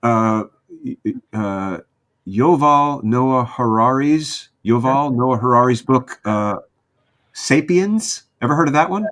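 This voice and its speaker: A man's voice: mid-range voice, animated, slow pace, fairly bright tone. Wry man in a casual chat. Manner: wry, joking. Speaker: guest on a livestream podcast.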